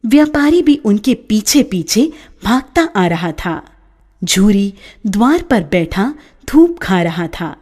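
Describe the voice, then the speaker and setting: Slow, lilting woman storyteller. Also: mid-range voice, warm tone. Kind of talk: scripted storybook narration.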